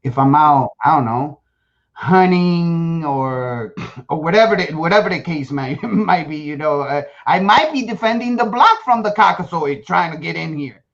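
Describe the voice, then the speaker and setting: A man's voice: fairly high pitch, lively intonation, moderate pace, muffled recording. A man from Guatemala hosting a casual survival-prep livestream, boastful and joking, often stumbling over his words as he talks to viewers. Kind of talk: casual live-stream chat to viewers.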